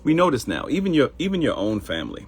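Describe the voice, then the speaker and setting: A man's voice: medium pitch, wildly swooping pitch, fast, husky, faint music behind. A middle-aged man with a Baltimore accent hosting a live morning show in casual talk, addressing viewers directly and acting out quoted voices.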